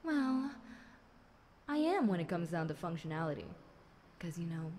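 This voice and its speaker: A woman's voice: lowish voice, very animated, a little mellow. A woman playing a chatty, centuries-old fallen angel demon girl, talking wryly and conversationally to one listener in an audio roleplay. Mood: wry, haughty. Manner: conversational, wry, unhurried, dramatic musing. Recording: clear background noise.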